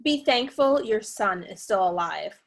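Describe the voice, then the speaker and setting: A woman's voice: mid-range voice, expressive, unhurried, vocal fry. A woman playing a cold, righteous cult leader in a dramatic table read, stern and imperious in her delivery. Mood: defiant.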